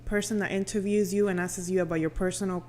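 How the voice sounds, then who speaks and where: A woman's voice: mid-range voice, fairly even intonation, fast talker, darkish tone. Casual woman chatting, noisy background. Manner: conversational, peppers talk with "like" and "you know". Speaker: radio personality, podcast guest. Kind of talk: casual podcast chat.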